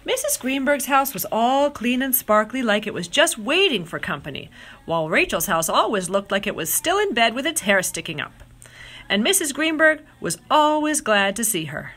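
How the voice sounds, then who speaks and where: Animated, high-voiced woman reading a storybook. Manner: expressive, drawn-out dramatic phrasing. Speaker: storybook reader. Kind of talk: animated read-aloud of children's picture book.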